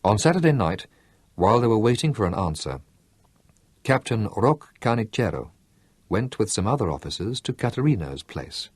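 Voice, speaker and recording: A man narrating a novel as an audiobook, in a measured, expressive storytelling manner. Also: lowish voice, husky, no echo. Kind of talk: scripted narration.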